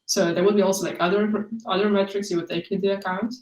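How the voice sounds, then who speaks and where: A woman's voice: mid-range voice, steady tone, fast, darkish tone, soft background music. A woman student answering questions on a video call, explaining informally in a hesitant, searching way. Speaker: student presenter taking questions. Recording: echoey room, distant microphone.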